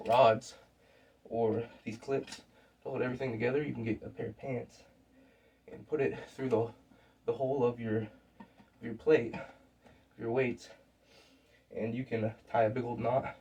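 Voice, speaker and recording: A young man with a sick, scratchy voice and a sore throat, talking casually through his workout in a rambling, relaxed way with fillers. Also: medium pitch, moderate pace, pauses often. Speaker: fitness vlogger. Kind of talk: casual workout vlog talk. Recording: distant mic with faint background noise.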